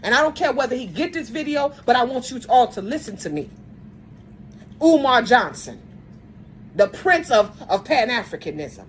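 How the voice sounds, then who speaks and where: A woman's voice: on the high side, big pitch swings, normal speed, a little hiss or hum, poor audio. A woman speaking straight to the camera in an angry rant, blunt and confrontational, often stumbling and restarting mid-sentence. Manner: says she has a strong voice. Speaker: video commentator.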